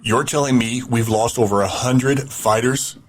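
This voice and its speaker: An actor playing a steady male town leader who speaks firmly and decisively. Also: mid-range voice, measured pace, lightly acted, rough recording. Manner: firm, decisive.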